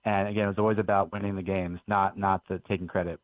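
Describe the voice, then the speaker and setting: A man's voice: sits low, level tone, fast, dry recording. A man in his forties, from Belgium, telling stories as a guest author in an earnest, enthusiastic way on a radio interview. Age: 40s. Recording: dull lo-fi radio audio.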